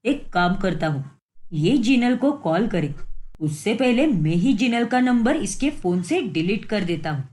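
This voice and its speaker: Woman acting young husband, cartoon story, sly, lazy, self-pitying, dramatic pleading, medium pitch. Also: animated, a little slow, a little mellow, natural voice. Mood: sly, smug. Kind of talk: Hindi cartoon moral-story dubbing.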